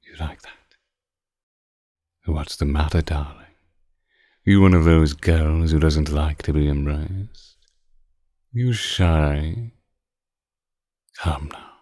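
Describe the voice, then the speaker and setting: A man playing an ancient, suave vampire lord in a scripted roleplay drama, speaking with deliberate, condescending menace and archaic phrasing. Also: very deep voice, mellow, dry recording, studio quality, exaggerated voice. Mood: coaxing, menacing. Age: older. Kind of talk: scripted roleplay audio drama, intimate close-mic monologue.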